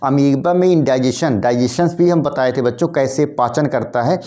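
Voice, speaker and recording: Casting note: male teacher, hoarse a cold, mellow dark tone, repetitive classroom explaining. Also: mid-range voice, moderate pace. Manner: repetitive, addresses students as kids, frequent throat clearing. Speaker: biology teacher. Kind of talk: classroom lesson addressing students.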